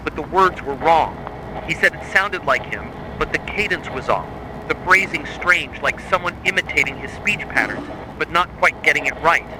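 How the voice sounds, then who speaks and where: A man reading a scripted horror narration styled as an official emergency bulletin, in a grave, measured, authoritative way. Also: a little high, animated, background noise. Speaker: narrator of a mock emergency broadcast.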